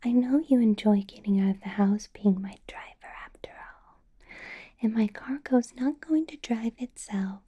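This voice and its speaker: A woman's voice: on the high side, lo-fi sound. Whispering possessive vampire boss, played by a woman. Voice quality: breathy whisper. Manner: slow whispered, teasing, frequent soft laughs. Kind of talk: ASMR roleplay.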